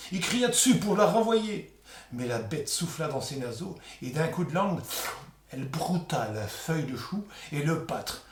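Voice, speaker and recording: A man telling a folk tale aloud in a theatrical, colloquial oral storytelling style. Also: fairly high pitch. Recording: echoey room.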